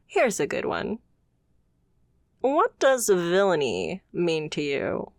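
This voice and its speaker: A woman's voice: lowish voice, no echo, clean studio sound, full character voice. A woman playing a confident, haughty supervillain boss in a scripted ASMR roleplay, speaking with teasing, condescending poise. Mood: businesslike, amused. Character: confident female supervillain boss, haughty yet playfully amused.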